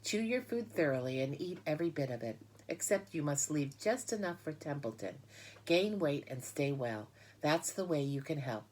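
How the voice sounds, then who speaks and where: A woman voicing a motherly female spider who is calm, wise and protective, speaking with firm reassurance. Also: deep voice, moderate pace. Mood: gently firm.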